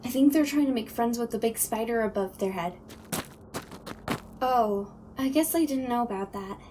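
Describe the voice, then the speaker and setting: A woman's voice: on the high side, moderate pace, noisy background, lightly acted. A woman playing a cheerful, pun-loving young ghost in an audio drama, perky and breezy. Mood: breezy, playful.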